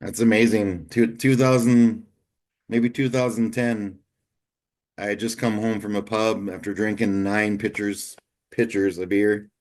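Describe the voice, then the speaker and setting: A man in his late forties with a fairly low voice hosts a casual interview. He talks in a relaxed, candid way and speaks openly about his own life. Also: measured pace. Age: 40s. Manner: candid, easygoing and confessional. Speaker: podcast host.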